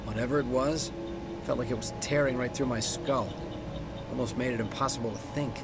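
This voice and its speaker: A man's voice: mid-range voice, fairly even intonation, brisk, over loud music, natural voice. A man voicing a shaken, evasive colony farmer in a video game, plain-spoken and defensive. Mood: haunted.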